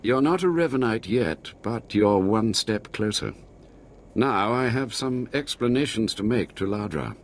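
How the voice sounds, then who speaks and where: Anxious earnest scholar in a game, lowish voice that swings around a lot. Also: male voice, unhurried, a little hiss or hum. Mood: relieved, conspiratorial. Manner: earnest, expressive. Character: earnest, anxious male scholar of a secret order. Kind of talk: video game voice acting.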